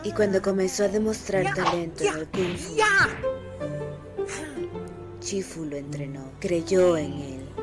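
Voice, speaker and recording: Woman voicing a stern low-voiced tigress warrior. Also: expressive, slow pace, long pauses. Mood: solemn, grave. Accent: Latin American. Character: stern adult tigress warrior, solemn and proud. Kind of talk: animated film fandub acting. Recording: loud film music behind.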